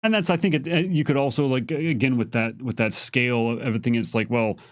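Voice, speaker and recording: Man, average pitch, casual, halting, hedging answers, dry recording. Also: fairly even intonation, no echo. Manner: halting, thinks aloud, hedging. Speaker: game developer answering fan questions on a stream. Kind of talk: casual unscripted Q&A talk.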